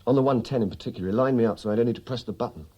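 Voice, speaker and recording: An actor playing a wry, urbane wartime RAF officer in a radio drama, with a dry, teasing, donnish manner. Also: male voice, mid-range voice, very fast, mellow, close to an everyday voice. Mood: wry, relaxed. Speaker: actor and narrator in a radio play. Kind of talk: 1980s radio drama acting.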